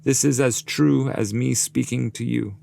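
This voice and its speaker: Dead poet guide through the underworld, male reader: solemn, firm, declaiming, raised pitch, epic poem. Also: fairly even intonation, measured pace, gravelly, warm tone. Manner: declamatory, earnest. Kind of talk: dramatic epic poetry reading.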